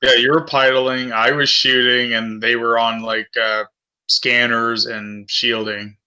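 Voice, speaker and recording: Bright, flat-toned man. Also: mid-range voice, measured pace, rough recording. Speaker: tabletop role-playing game player. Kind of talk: casual game talk.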